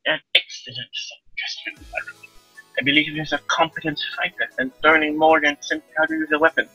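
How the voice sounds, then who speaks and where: A man's voice: a little high, wildly swooping pitch, fairly quick, pushed and bright, breathy edge, no echo, soft background music, heavily acted, low-quality recording. A man voicing a stern, haughty young female warrior who scolds and commands, reading a visual novel aloud. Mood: commanding.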